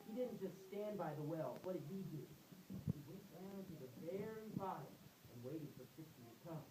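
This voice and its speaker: A woman's voice: lowish voice, animated, moderate pace, heavy background noise. A woman telling a story live to children at a puppet show, prompting them to join in as she goes. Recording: echoey room, distant mic, music.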